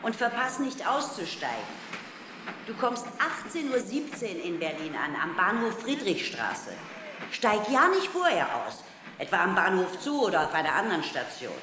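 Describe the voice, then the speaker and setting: Lively, fussing mother played by a woman. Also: medium pitch, normal speed, close to an everyday voice. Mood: anxious, affectionate. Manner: admonishing, instructing, motherly. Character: caring, fussing working-class mother of a schoolboy. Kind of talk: 1960s German radio play acting. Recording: vintage record with background noise.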